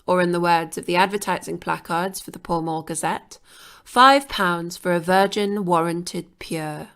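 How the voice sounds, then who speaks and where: A woman reading scripted documentary narration for a podcast in a measured, even way. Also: lowish voice, gravelly.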